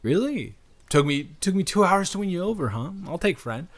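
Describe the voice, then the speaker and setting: A man playing a friendly, flirty stranger in an improvised audio roleplay, talking in a rambling, hesitant, earnest way with gentle teasing. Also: high voice, big pitch swings, moderate pace. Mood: playful, teasing. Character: cute friendly male stranger at pumpkin patch, wholesome, flirty, reassuring. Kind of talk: improvised intimate audio roleplay spoken to the listener.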